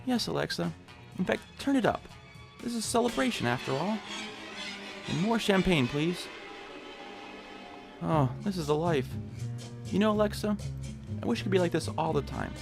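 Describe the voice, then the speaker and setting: A man's voice: high voice, animated, normal speed, creaky, long pauses, over music. A man in an audio drama playing a timid, eager, put-upon clerk, hesitant and a little whiny. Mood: giddy.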